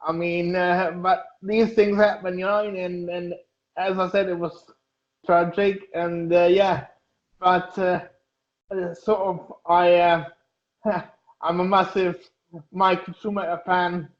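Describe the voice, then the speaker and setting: A man in his thirties from Germany, talking haltingly as an interview guest, with many fillers and restarts. His voice is high and flat, with little rise and fall. The sound is echoey and dull, like a lo-fi room recording. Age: 30s. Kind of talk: casual interview.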